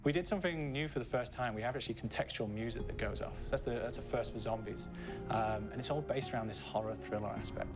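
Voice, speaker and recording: A man's voice: mid-range voice, fast talker. A man speaking as a game developer in a behind-the-scenes interview, explaining in a conversational way. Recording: clear music bed behind.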